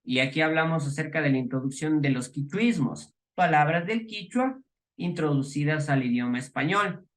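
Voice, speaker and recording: A middle-aged man with an Ecuadorian highland accent lectures a class. His voice sits somewhat high for a man. He explains patiently, restarting phrases, filling pauses and posing rhetorical questions to his students. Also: lively intonation, fairly bright tone. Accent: Ecuadorian highland Spanish. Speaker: teacher.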